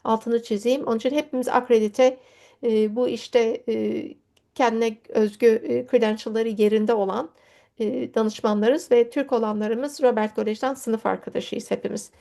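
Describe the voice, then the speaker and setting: A woman's voice: a little high, steady tone, moderate pace, gravelly, dark tone. A woman, a native Turkish speaker, speaking as an education consultant and host introducing an online presentation on a video call. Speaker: education consultant hosting a webinar.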